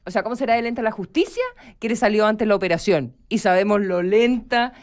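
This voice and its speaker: Chilean female radio panelist, smooth clear voice at medium pitch, explanatory, with deliberate pauses. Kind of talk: live radio discussion, explanatory.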